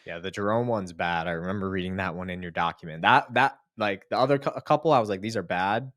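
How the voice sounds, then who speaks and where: A man's voice: low-pitched, quick pace, a bit bright, no room sound, clean studio sound. A man hosting a casual chat, enthusiastic and affirming toward his guest. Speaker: host.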